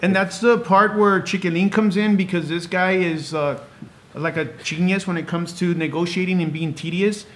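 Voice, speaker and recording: A man's voice: sits high, steady tone, normal speed, a bit bright. A man in his thirties chatting casually as a podcast guest, talkative and full of small anecdotes. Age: 30s. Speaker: podcast guest, clothing brand co-owner. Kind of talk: casual chat.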